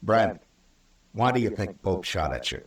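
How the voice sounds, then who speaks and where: A male actor playing a stern, fair-minded American frontier marshal in a 1950s radio western, speaking firmly and deliberately. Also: sits low, big pitch swings. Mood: calm, firm. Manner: firm, deliberate, authoritative. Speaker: lead actor and narrator. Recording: old radio recording, roomy and distant.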